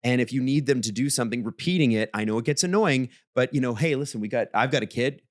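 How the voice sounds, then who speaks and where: A man with a booming voice co-hosting a casual podcast, animated and jokey, often jumping high in pitch when he teases. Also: quick pace, no room sound, clean studio sound. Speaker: podcast co-host. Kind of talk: casual chat.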